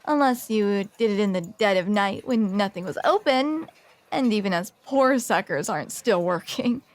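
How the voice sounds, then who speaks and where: A woman playing a grumpy, flustered tsundere barista with a secret soft side in an ASMR roleplay, sardonic, self-deprecating and awkwardly halting. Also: medium pitch, animated, lightly acted. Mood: dry, grumpy.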